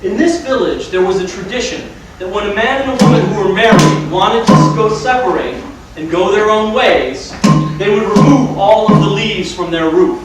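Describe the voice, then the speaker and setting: A man's voice: sits high, normal speed, recorded from a distance, close to an everyday voice. A man playing the storyteller of a folktale, giving scripted narration in a stage play. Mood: measured. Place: large room or hall, distant mic. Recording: echoey stage from afar, music behind.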